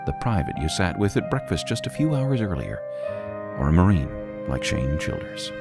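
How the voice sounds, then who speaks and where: A man's voice: low voice, sing-song, normal speed, over loud music. A man reading scripted radio documentary narration in a solemn, polished manner.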